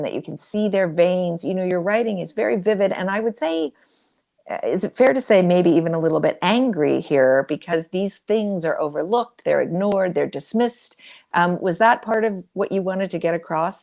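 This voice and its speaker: Low, gravelly woman interviewer. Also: a little mellow. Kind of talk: literary interview questions.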